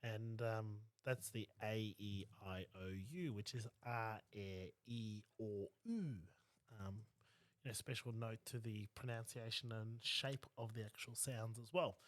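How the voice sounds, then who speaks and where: A New man of Māori background co-hosting a podcast, talking in a rambling, animated way with many fillers. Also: on the low side, measured pace, no room sound. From New Zealand. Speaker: podcast co-host. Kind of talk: casual podcast conversation.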